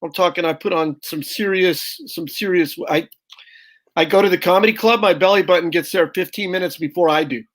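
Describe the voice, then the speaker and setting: A man's voice: high-pitched, fairly quick, a bit bright. A man, a guest comedian, firing off brash stand-up jokes on a video call and calling the host brother. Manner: brash, punchy, calls people "brother". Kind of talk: stand-up style joke telling.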